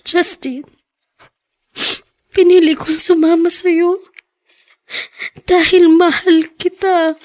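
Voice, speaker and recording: Very high-voiced, troubled teenage girl. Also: flat delivery, slow, pauses often, darkish tone, dry recording, studio quality. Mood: subdued, tender. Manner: earnest, emotional. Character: troubled, devoted teenage girl living in poverty. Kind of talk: melodramatic Tagalog audio drama acting.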